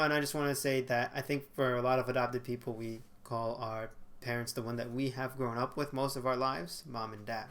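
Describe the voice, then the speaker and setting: A man's voice: medium pitch, a bit bright. A young man in his twenties talking casually to a webcam in a small bedroom, with frequent fillers and self-corrections. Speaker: vlogger. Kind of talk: casual talking-head monologue.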